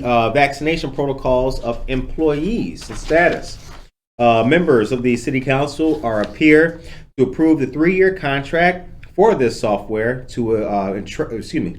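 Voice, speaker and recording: A man hosting a local podcast, moving between reading news and casual interviewing in a halting, conversational, friendly way. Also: medium pitch. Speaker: podcast host.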